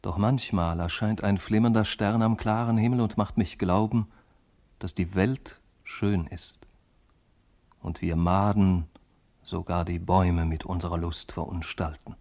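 A weary, disdainful man with a low voice broods in a radio drama. He declaims earnestly, in measured literary phrases. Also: fairly even intonation, darkish tone. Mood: bitter, musing. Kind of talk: literary radio play monologue.

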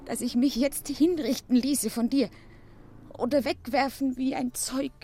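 A woman's voice: sits high, steady tone, a little slow, dark tone. An actress playing a submissive young woman desperately in love in a radio play, speaking with solemn, yielding fervour. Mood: abject, devoted. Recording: noisy old radio play recording.

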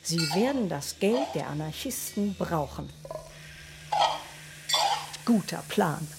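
A woman's voice: lowish voice, animated, slow, creaky, very noisy. A woman reading scripted story narration in a radio play.

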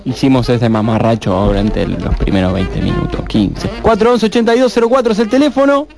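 A man's voice: mid-range voice, brisk, gravelly, music in the background. An Argentine man hosting a radio show, teasing his co-hosts and reading out contact details. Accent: Argentine Spanish. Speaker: radio host. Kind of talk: joking radio banter.

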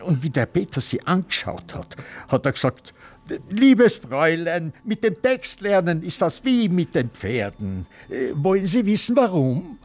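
An actor playing a forgetful, petty old Austrian film director, rambling and halting in a radio drama. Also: male voice, on the high side, very animated, darkish tone. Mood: forgetful, muddled. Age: older. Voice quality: halting, hesitant delivery. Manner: confused, rambling, self-satisfied.

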